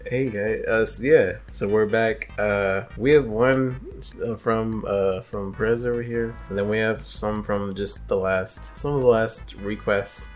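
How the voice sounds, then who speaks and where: A man in his twenties co-hosting with casual, jokey banter and halting read-aloud commentary. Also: on the low side, steady tone, faint music behind. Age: 20s. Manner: jokey, stumbles while reading aloud. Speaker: co-host.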